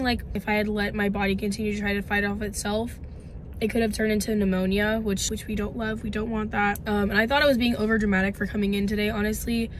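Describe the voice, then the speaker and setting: A woman's voice: mid-range voice, a little hiss or hum. A woman in her twenties, congested and losing her voice, chatting casually and rambling to a phone camera in a parked car. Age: 20s. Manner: chatty, rambling with many likes. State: congested, voice partly gone. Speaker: vlogger. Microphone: phone camera at arm's length.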